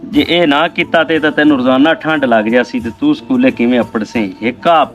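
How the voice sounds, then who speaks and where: A man's voice: medium pitch, steady tone, a little fast, natural voice. A man with a rural Pakistani Punjabi accent acting a poor, proud, worried village father in a weary, rambling way. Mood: helpless, worried. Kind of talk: amateur moral drama acting. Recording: dull lo-fi video with faint music.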